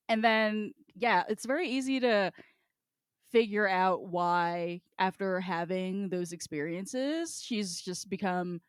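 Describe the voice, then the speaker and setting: A woman's voice: fairly low pitch, clean tone, no room sound, studio quality. A woman co-hosting a podcast, talking casually and animatedly, swearing freely. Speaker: podcast co-host. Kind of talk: casual chat, book discussion.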